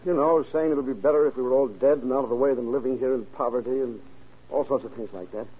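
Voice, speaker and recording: An actor in an old-time radio drama playing a troubled, reluctant young son, earnest and halting. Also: male voice, mid-range voice, husky, natural voice. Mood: troubled, hesitant. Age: young adult. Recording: muffled, phone-like old radio recording.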